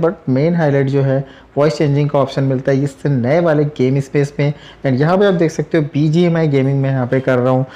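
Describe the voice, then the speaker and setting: A man hosting a tech review, explaining phone software in a chatty, repetitive way with English tech terms mixed in. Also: on the high side. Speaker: tech channel host.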